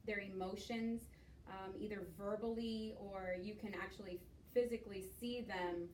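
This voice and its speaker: A woman's voice: medium pitch, steady tone, normal speed, darkish tone. A young woman from Miami leads a class presentation conversation, hesitant with many fillers. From Miami, United States. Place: skills lab room. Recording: roomy echo, mic at a distance, faint noise.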